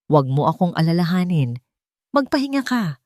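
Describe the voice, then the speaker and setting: Frail, gentle old father, voiced by a woman narrator. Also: on the low side, very animated, fairly quick, dry recording, clean studio sound. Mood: tender, glad. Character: frail, kindly old father of a wealthy family. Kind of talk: audiobook character dialogue.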